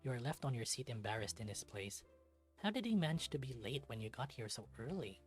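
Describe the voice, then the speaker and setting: A narrator reading a visual novel aloud in expressive second-person storytelling. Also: medium pitch, fairly quick, soft background music.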